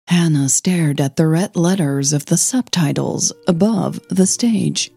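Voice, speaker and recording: A woman narrates scripted fiction in a slightly low, mellow voice. Her delivery is very slow, measured and gentle, as storytelling for an audio drama. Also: creaky, slightly rough, no echo, clean studio sound, soft background music.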